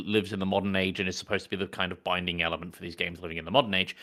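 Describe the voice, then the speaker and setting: A man co-hosting a casual film podcast, explaining his points in a wordy, deliberate way and often correcting himself. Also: low-pitched, pushed and bright. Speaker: podcast co-host. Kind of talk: casual film review chat.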